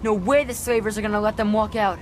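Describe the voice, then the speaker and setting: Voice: mid-range voice, fairly even intonation, fast, slight background noise, lightly acted. An actor voicing a blunt, slangy, streetwise kid escaping captivity in a video game. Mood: urgent, blunt. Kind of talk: video game character acting.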